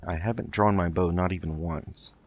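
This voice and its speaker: A man's voice: deep voice, fairly even intonation, moderate pace, muffled recording. A man hosting a casual let's play, thinking aloud hesitantly as he goes. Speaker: gaming video host.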